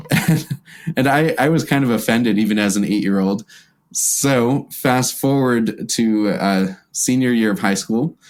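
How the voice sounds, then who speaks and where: A man's voice: mid-range voice, measured pace. An American man speaking as a podcast guest, casually recounting his background in an interview. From United States. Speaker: podcast guest, violinist and music teacher.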